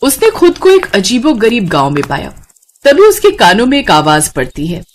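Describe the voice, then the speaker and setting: A woman narrating a scripted children's moral story in an expressive storybook style. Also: fairly high pitch, moderate pace, slight background noise.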